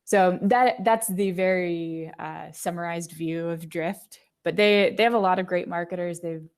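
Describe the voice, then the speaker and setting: A woman's voice: fairly low pitch, steady tone, measured pace, clean tone. A woman speaking as a podcast guest, explaining things articulately in a conversational interview style. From United States. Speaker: marketing executive, podcast guest.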